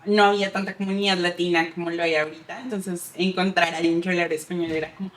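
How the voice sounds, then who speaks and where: Chatty Colombian woman, lowish voice. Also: fairly even intonation, quick pace. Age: late 20s. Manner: chatty, with many fillers. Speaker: guest, insurance advisor. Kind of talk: podcast interview.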